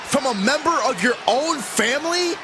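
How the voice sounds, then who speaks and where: A man playing an astonished, excitable wrestling commentator in a video game, calling the action in a raised voice. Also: very high voice, normal speed, background noise.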